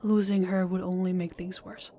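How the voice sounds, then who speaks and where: A woman's voice: fairly low pitch, level tone, normal speed, warm tone, a little hiss or hum, close to an everyday voice. A woman voicing a polite, desperate young woman pleading with a crime boss in an audio roleplay, speaking formally and deferentially. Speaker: voice actor and channel host.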